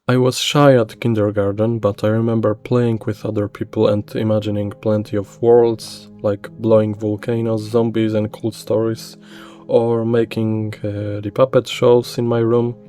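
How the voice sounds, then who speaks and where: A man from Poland with a Polish accent, a non-native English speaker, narrating a personal story in an earnest, hesitant way. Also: fairly low pitch. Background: faint soft music.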